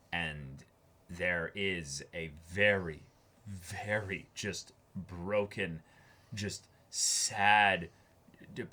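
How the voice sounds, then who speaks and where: A man's voice: deep voice, pushed and bright. A man co-hosting a casual anime discussion, repeating phrases and acting out imagined quotes as he talks. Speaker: co-host of an anime reaction show.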